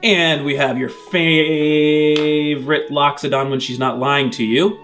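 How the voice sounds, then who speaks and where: A man's voice: a little high, fairly even intonation, slow pace, fairly bright tone, soft background music. A man hosting a game podcast as game master in a casual, conversational style.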